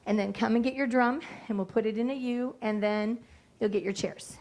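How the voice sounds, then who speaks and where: A woman's voice: on the high side, fairly even intonation, darkish tone, slight background noise. A woman music teacher explaining a piece and directing her students in a meeting room. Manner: brisk, directive teacher talk. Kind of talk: classroom instruction to students. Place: school board meeting room.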